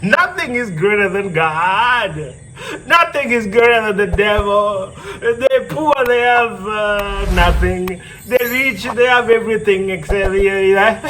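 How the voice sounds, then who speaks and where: A man performing as a live storyteller and riddle host, theatrical, repeating phrases and pausing for effect. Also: high-pitched, pushed and bright, slight background noise, low-quality recording. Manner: theatrical, repeats phrases, pauses before answers. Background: music.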